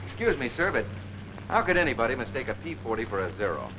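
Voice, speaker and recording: A man's voice: medium pitch, sing-song, fast. A man acting an eager young American fighter pilot in a 1940s training film, earnest and respectful to his superior, on a noisy old soundtrack. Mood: earnest, surprised. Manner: deferential, earnest. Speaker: junior pilot answering a superior.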